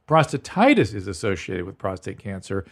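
A man's voice: sits low, very animated, normal speed. A man hosting a podcast in casual chat, frank and joking, laughing between points. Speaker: podcast host.

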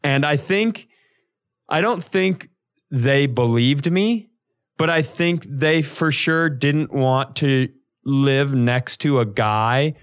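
A man's voice: mid-range voice, takes it slowly. A man co-hosting a comedy podcast, telling casual stories in a rambling, profane way with comic exaggeration.

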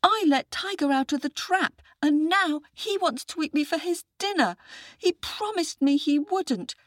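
A woman's voice: high voice, expressive, unhurried, no room sound, studio quality, voice pushed up. A woman voicing an earnest, frightened little village boy in a children's story, pleading and protesting indignantly.

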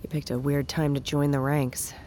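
An actress playing a sardonic, weary tech worker in an audio drama, with a dry, blunt, sarcastic delivery. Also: female voice, low voice, little pitch movement, normal speed, mellow, a little hiss or hum, natural voice. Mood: awkward, wry. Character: exasperated, sardonic, foul-mouthed female tech worker. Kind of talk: naturalistic audio drama acting.

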